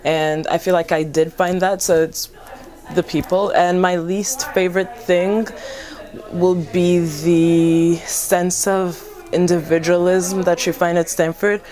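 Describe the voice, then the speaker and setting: Young rwandan student woman, really low voice, casual hesitant interview answer. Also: level tone, takes it slowly, darkish tone. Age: young adult. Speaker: university student.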